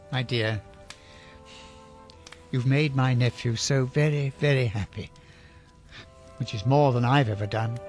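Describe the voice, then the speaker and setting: An actor playing a reformed old miser, contrite and generous, effusive and stammering in a radio drama. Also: male voice, mid-range voice, natural voice. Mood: humble, tender. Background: background music.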